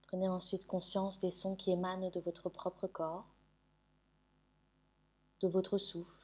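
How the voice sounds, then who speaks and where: A woman guiding a sleep meditation in French, giving soothing, gentle instructions with long pauses. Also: on the low side, flat delivery. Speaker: yoga nidra meditation guide.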